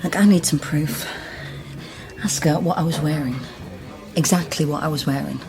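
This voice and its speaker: An actress playing a guarded, dry-witted woman in a naturalistic radio drama, speaking in terse, deadpan lines. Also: deep voice, normal speed, music in the background, rough recording. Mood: guarded, tense. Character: guarded, dry-witted genealogist woman digging into her past. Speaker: lead actor.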